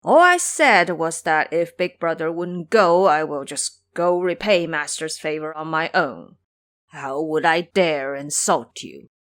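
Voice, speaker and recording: A woman's voice: deep voice, animated, a little slow, a bit bright, voice pushed down. A woman voicing a cowardly, wheedling pig-monster disciple in an audio drama, whining and grovelling as he pleads. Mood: defensive pleading.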